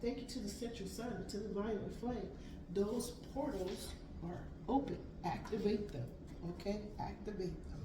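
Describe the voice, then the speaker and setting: A woman with a raspy voice reading tarot cards aloud, gentle and affirming. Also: medium pitch, moderate pace, recorded from a distance. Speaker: tarot reader. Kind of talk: spiritual card reading. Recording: echoey room, voice from off mic, background noise.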